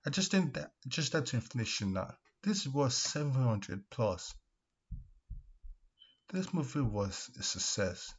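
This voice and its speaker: A man giving casual opinion commentary as a video host, speaking in an emphatic, repetitive way with halting restarts. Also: mid-range voice. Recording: dull, lo-fi microphone sound.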